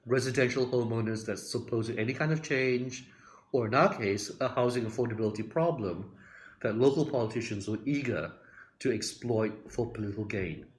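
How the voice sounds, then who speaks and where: Older guy from hong kong and australia, medium pitch, measured prepared talk into his phone or webcam at home. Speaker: community organizer. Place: room at home. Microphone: phone or webcam at arm's length.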